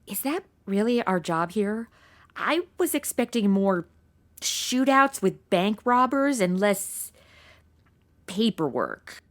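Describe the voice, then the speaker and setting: A woman's voice: medium pitch, sing-song, slow. A woman playing a sassy modern young woman in a comedy audio drama, sarcastic, blunt and exasperated. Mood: incredulous. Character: sassy modern young woman stuck in old radio westerns.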